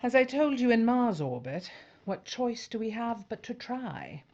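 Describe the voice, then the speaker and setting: A woman playing a weary, determined spaceship pilot and commander in a science fiction audio drama, brisk and businesslike with a wry edge. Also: fairly high pitch, very animated, darkish tone, dull audio, heavily acted. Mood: resolute.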